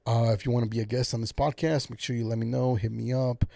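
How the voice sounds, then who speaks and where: A man's voice: medium pitch, flat delivery, fast, gravelly, mellow, no room sound. A young man hosting his own podcast, talking alone in a casual, encouraging way that rambles with lots of filler words. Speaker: podcast host. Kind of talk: casual solo podcast monologue.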